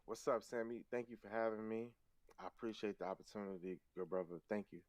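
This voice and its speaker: A middle-aged man with a fairly low voice talks through his answers in a friendly, reflective way. He halts and restarts often, and the recording is dry and close with no room sound. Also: darkish tone, no room sound. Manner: rambling, halting, many restarts and fillers. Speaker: guest, fellow interviewer and streamer. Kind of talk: casual interview answers.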